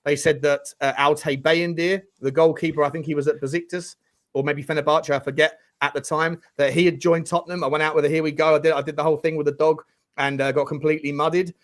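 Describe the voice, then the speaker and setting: A man's voice: a little high, level tone, fast, a bit bright. Blunt, chatty man co-hosting. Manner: blunt, banters and swears casually. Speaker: co-host. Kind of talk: casual football chat.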